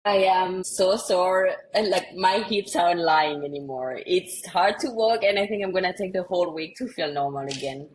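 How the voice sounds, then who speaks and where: A woman co-host with a fairly low voice chats in a relaxed, friendly way. Her sentences sometimes fade off softly. Faint noise sits under her voice. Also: normal speed, hardly any pauses, poor audio. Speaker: co-founder and podcast co-host. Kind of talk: casual chat.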